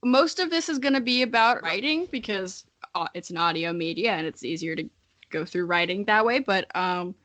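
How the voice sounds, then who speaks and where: A woman's voice: mid-range voice, animated, moderate pace, a bit bright. An American woman in her early twenties hosting a podcast in casual comedic chat, informal and self-deprecating. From Missouri, United States. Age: early 20s. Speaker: podcast host.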